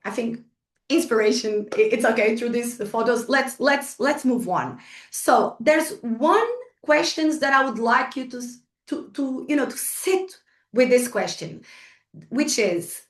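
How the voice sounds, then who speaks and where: High-voiced Portuguese woman in an echoey room. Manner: chatty, frequent restarts and fillers, laughs at herself. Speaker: guest speaker. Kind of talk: motivational workshop talk. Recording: echoey room, distant from the mic.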